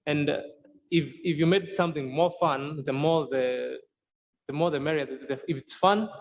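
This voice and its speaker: A man's voice: a little high, normal speed. A man explaining how he teaches Swahili in a podcast interview, earnest and often restarting sentences as he searches for words. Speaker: Swahili course creator and instructor. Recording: dull lo-fi sound with faint noise.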